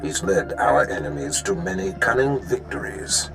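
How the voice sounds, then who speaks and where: Sith lord, imperious, cold, scheming, grave, deliberate, low pitch, music behind. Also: male voice, expressive. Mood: cold, menacing. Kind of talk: scripted video game dialogue acting.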